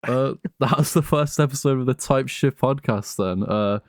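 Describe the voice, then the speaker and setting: A man's voice: medium pitch, normal speed, dry recording, clean studio sound. A man co-hosting a podcast in casual, slangy, sweary conversation about films. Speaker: podcast co-host. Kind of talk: casual chat, film discussion.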